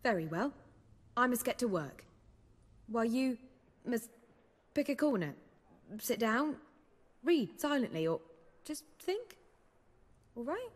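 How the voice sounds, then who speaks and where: Spirited young female bard, very lively intonation. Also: on the high side, in a large hall, exaggerated voice. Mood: impatient, absorbed. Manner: expressive, brisk, articulate. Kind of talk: video game dialogue acting.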